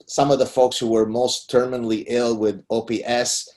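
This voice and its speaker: A man's voice: little pitch movement, normal speed, a little mellow. A middle-aged man from Lebanon whose first language is Arabic, speaking emphatically as a guest in an interview. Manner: emphatic, rising pitch on key points. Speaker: guest author. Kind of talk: conversational interview.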